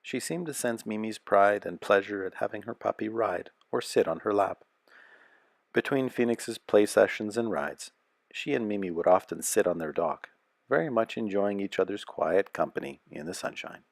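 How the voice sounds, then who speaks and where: A man narrating a children's picture book aloud as a storyteller, with a measured, expressive delivery. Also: fairly low pitch, vocal fry, dry recording.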